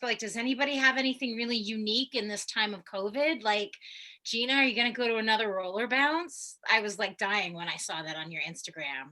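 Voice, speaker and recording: A woman's voice: fairly high pitch, bright, forceful tone. A woman hosting a casual online group chat over a video call, speaking in a chatty, exclamatory way. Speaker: host of an online tarot gathering.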